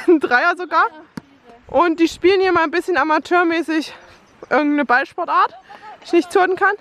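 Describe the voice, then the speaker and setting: A woman's voice: very high-pitched, normal speed, long pauses, a bit bright. A young woman giving a playful mock tour of a campsite while filming on a phone, outdoors. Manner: jokey, playful tour-guide tone. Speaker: friend filming. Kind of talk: mock presenter commentary.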